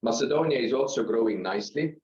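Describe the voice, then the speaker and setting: A man who is not a native English speaker, giving a measured, halting business presentation to investors as a company executive. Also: mid-range voice, lively intonation, a little mellow. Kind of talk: business presentation on an earnings call. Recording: roomy, slightly dull conference-call sound.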